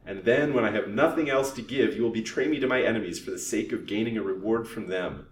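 A man's voice: fast, lightly acted. A man voicing a scheming, hot-tempered widow from a genteel storybook melodrama, indignant and formal. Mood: bitter. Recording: roomy, distant microphone, faint rumbling noise.

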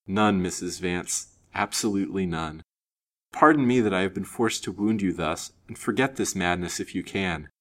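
A man voicing an honest, earnest young gentleman in an audiobook story, speaking formally and steadily with gentle rebuke. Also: deep voice, normal speed, close to an everyday voice. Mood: regretful refusal. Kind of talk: dramatised audiobook reading.

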